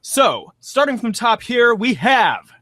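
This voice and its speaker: A man hosting a read-aloud show, addressing listeners in a theatrical, mock-grand and deliberate way. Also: extremely high pitch, sing-song, sharp tone, dry recording.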